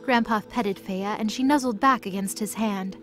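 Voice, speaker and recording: A woman's voice: medium pitch, moderate pace, soft background music. A woman narrator reading a scripted fantasy story.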